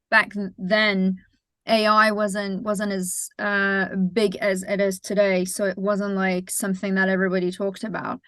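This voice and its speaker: A woman from Iran, around her thirties, talks through her career in an interview. She is thoughtful and unhurried, with frequent fillers and self-corrections, and her mid-pitched voice sits in a dry, close recording. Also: a bit bright, no room sound. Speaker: startup founder, guest. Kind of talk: conversational interview answers.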